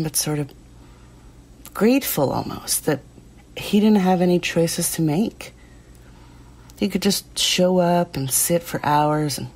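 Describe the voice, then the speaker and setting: Low-voiced, plain-spoken woman witness. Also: darkish tone, heavily acted. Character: plain-spoken, quietly loyal working woman recalling the accused. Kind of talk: documentary-style audio drama interview.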